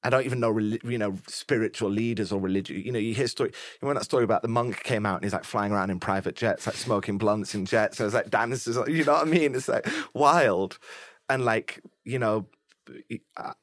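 A man, a musician guest, talking candidly and openly in a laid-back, soft-spoken way. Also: lowish voice, a little fast, no room sound, studio quality. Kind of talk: candid interview conversation.

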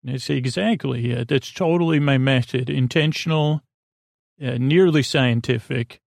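Male sleep podcast host, middle-aged, mid-pitched and croaky, silly meandering monologue with repeats and unfinished thoughts. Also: moderate pace, no echo, clean studio sound. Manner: silly, whimsical. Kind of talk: rambling bedtime monologue.

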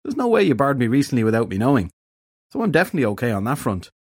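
A hungover half-fairy detective, played by a male narrator, moans with melodramatic self-pity. The voice is weak and croaky, lifted well above a low register into a whine, as in a comic fantasy audiobook. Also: big pitch swings, very fast, a little mellow, dry recording, studio quality. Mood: indignant. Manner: moaning, melodramatic complaining.

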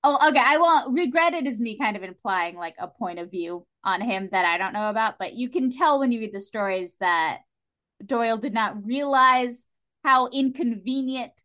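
A woman talks as a guest on a casual podcast, with a medium-pitched voice. Her delivery is informal and animated. She states her opinions with emphasis. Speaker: podcast guest discussing a TV series.

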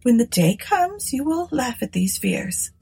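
A woman's voice: high-pitched, very animated, normal speed, soft background music, pitched above the performer's own voice. A woman voicing an anxious, grieving old serving woman with a plaintive manner, in a gothic storybook reading. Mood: soothing. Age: older.

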